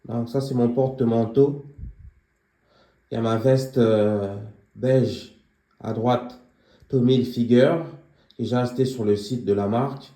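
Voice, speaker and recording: A young man giving a casual tour of his apartment, pausing often with fillers as he walks around. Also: medium pitch, steady tone, mellow, roomy sound. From Congolese heritage, living near Paris. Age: young adult. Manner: hesitant, many filler pauses. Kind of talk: casual home tour vlog. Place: small apartment indoors.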